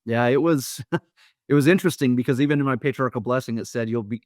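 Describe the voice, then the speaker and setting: A man from Idaho speaks as a podcast guest, earnest and a little halting. He often restarts his sentences and leans on fillers, and the recording sounds dry and close. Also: medium pitch, dry recording. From Idaho, USA. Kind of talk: storytelling interview.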